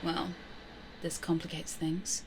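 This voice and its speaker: A woman voicing a possessive, teasing female vampire in an ASMR roleplay, coaxing and domineering in breathy whispers close to the microphone. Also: low voice, completely flat delivery, slow, heavy background noise. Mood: startled, indignant. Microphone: close studio condenser microphone.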